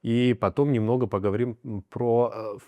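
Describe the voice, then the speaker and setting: A man's voice: mid-range voice, fairly even intonation, normal speed, darkish tone, no echo. A man with an Abkhaz accent hosting an interview, asking long friendly questions. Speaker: interview host.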